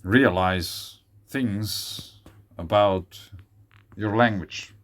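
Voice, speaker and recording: A man with a Swiss German accent talks to camera in an unhurried, meandering way. His voice sits fairly low, and he drifts slowly from thought to thought, stopping often for fillers and restarts. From Switzerland. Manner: rambling, hesitant, with frequent fillers. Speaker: video creator. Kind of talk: casual monologue.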